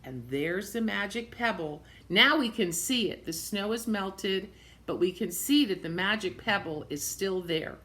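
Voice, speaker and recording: A woman reading a picture book aloud to young children, expressively, pausing to point things out in simple words and repeating phrases. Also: medium pitch, animated, a bit bright. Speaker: storybook reader for young children.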